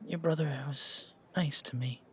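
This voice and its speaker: A man playing a shocked, grieving young man in an audio roleplay, stammering and halting with a breathy delivery. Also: fairly high pitch, sharp tone, voice pushed up. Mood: shaken, subdued.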